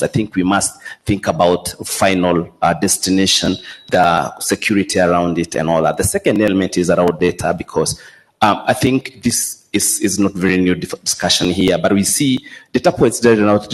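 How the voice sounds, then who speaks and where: A man's voice: low voice, rough recording. A man speaking as a panelist, laying out his points one by one. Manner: structured, lists his points. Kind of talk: panel talk, business points. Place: conference hall.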